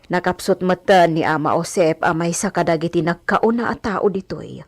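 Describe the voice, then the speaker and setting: A woman's voice: fairly low pitch, moderate pace. A woman playing a respectful, inquisitive household servant in a radio drama, speaking expressively and deferentially. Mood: advising.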